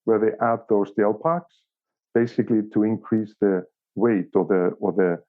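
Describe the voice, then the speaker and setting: A man with a fairly deep voice and an Icelandic accent talks over a narrow, phone-like connection. He gives casual interview answers haltingly, often repeating a word while he finds the next phrase. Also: steady tone. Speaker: interview guest, company operations director. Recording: thin phone-like call audio.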